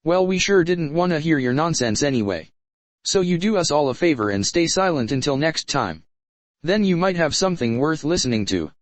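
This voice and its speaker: A man's voice: fairly high pitch, very animated, normal speed. A man hosting a commentary channel, mocking and insulting the person in the clips he plays back. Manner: taunting, insulting, scornful.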